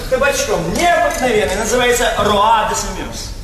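An actor playing a breezy, self-important government clerk with an affected, chatty manner in a theatrical stage comedy. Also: male voice, high voice, animated, normal speed. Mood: chatty, smug. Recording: reverberant stage hall, noisy old recording.

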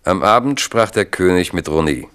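A man narrating a radio drama in a measured, grave, literary manner. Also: low-pitched, lively intonation, fairly bright tone, clear, resonant tone.

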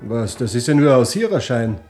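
A brusque army major, acted by a man in a vintage radio play. His voice sits at a middling pitch and he fires off curt, clipped questions like an interrogation form. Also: very animated, a little fast, darkish tone, faint music behind, lightly acted. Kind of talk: classic radio drama acting.

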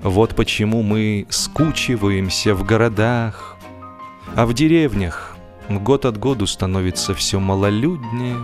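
A man performing a genial, wry gentleman who tells a humorous story in a measured, theatrical, expressive way. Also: on the low side, natural voice. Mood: solemn, wistful. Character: genial, wry, musing gentleman narrator of a comic tale. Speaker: audiobook narrator and actor. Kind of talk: dramatized first-person humorous story narration. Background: music.

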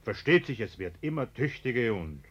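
An actor playing an anxious, ingratiating middle-aged homeowner in a German radio play, over-polite, hesitant and falsely jovial. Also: male voice, mid-range voice, sing-song, normal speed, breathy edge, lo-fi sound. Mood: earnest, chummy. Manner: hesitant, over-polite, chummy, nervous chuckling.

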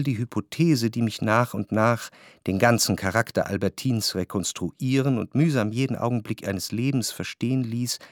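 An actor playing a reflective, jealous gentleman who narrates a literary radio drama in measured, introspective phrases. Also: medium pitch, dry recording, studio quality, lightly acted. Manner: measured, introspective, long formal sentences.

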